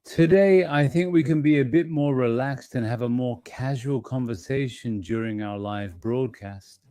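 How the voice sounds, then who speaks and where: A man hosting an investing channel, talking in a gentle, reassuring and slightly apologetic way to his members, with small fillers as he thinks aloud. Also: medium pitch, normal speed, no room sound. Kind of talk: casual live-stream talk to members.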